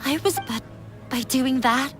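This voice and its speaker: An actress playing a determined, wounded young daughter in a video game, speaking firmly and earnestly. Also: female voice, high-pitched, a little slow, music in the background. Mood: resigned, resolute. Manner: earnest, firm, formal.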